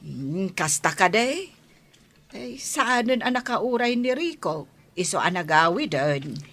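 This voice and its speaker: A woman's voice: medium pitch, sing-song, slow pace, a bit bright, full character voice. An actress with an Ilocano accent playing a concerned, questioning older mother in a radio drama.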